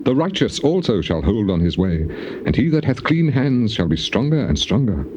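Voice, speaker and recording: A man's voice: mid-range voice, sing-song, moderate pace, background noise, close to an everyday voice. An actor playing an anguished, afflicted man lamenting to God in a theatrical, declamatory scripture dramatization. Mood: bitter, sorrowful. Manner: declamatory, mournful, archaic phrasing.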